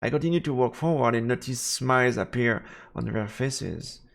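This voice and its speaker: Gravelly male streamer. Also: mid-range voice, moderate pace. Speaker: game streamer. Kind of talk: live let's play, commentary and reading aloud.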